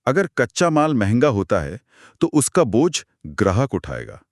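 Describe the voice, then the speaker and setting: A man's voice: mid-range voice, huge pitch swings, unhurried, no room sound, clean studio sound. A man with an Indian accent co-hosting a conversational podcast discussion, inquisitive and emphatic as he questions and sums up. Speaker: co-host asking questions.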